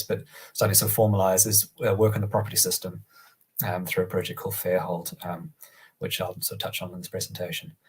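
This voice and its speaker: Man with a cold, deep and husky, monotone, halting presenter on a video call. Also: low-quality recording. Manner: hesitant, many ums and restarts. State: has a cold, croaky. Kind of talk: online presentation.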